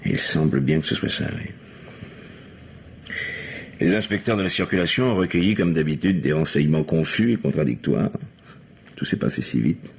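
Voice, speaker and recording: An actor playing an earnest, methodical police lieutenant in a French mystery radio play, speaking deliberately. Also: male voice, extremely low pitch, gravelly. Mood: matter-of-fact. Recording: muffled, hissy vintage radio recording.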